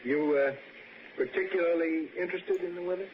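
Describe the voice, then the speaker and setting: A man's voice: on the high side, steady tone, a little fast, close to an everyday voice. An actor in a 1960s radio suspense play, playing an enigmatic, morbid male stranger who probes and insinuates with hesitant phrasing. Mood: insinuating. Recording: noisy muffled old radio recording.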